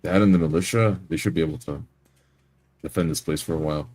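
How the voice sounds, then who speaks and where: A man playing a tabletop game, improvising his character's words and actions in measured, slightly formal wording. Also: a bass voice, a little mellow.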